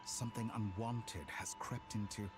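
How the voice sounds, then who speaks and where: A man voicing a smug, silky game villain with godlike power, theatrical and condescending in his mock-polite, deliberate delivery. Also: fairly low pitch, steady tone, close to an everyday voice. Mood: smug, amused. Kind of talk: scripted video game dialogue. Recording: game music behind the voice.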